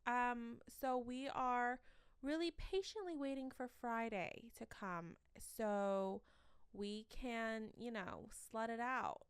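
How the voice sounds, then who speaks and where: A young woman hosting a casual solo podcast from the corner of her bedroom, with a shrill voice, rambling and self-mocking between fillers, sleepy. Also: slow pace, clean tone, no echo, clean studio sound. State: sleep-deprived. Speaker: podcast host.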